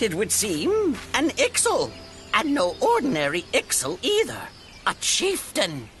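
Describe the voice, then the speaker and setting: An actress playing a small, composed, dryly superior female mage in a fantasy game, speaking in a formal, measured, arch manner. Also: on the high side, a bit bright, slight background noise.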